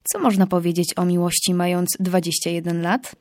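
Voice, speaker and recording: A woman's voice: fairly low pitch, level tone, fast talker, a little mellow, no room sound, clean studio sound. A young woman presenting music in a scripted, reflective way. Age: young adult. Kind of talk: scripted music show narration.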